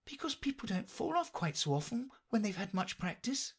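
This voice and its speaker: A man's voice: high-pitched, very animated, moderate pace, husky, voice pushed up, full character voice. A man voicing a polite, curious young girl in a storybook, with a questioning way of talking. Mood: gently doubtful.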